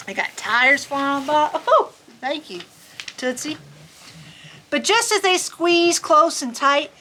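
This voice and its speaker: A woman reading a picture book aloud to children in an expressive, folksy, chatty storytime manner. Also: high-pitched, a little hiss or hum. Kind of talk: animated children's story reading with casual asides. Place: on a farm among sheep.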